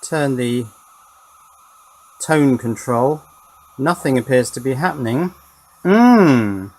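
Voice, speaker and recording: A man's voice: medium pitch, takes it slowly, darkish tone. A man presenting a hands-on electronics demonstration in a casual, wry, understated way with dry humour.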